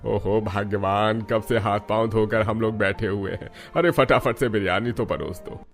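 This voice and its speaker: Gentle older family patriarch, acted by a man. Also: fairly low pitch, steady tone, moderate pace, faint music behind, natural voice. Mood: eager. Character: gentle older father-in-law of a poor family. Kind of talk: Hindi cartoon story voice acting.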